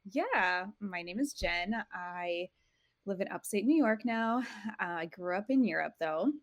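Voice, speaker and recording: A woman's voice: mid-range voice, expressive, measured pace, no room sound, lo-fi sound. A woman in her thirties from Portugal, a podcast guest chatting casually and often restarting her sentences. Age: 30s. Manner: chatty, self-correcting, laughs easily. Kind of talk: casual interview chat.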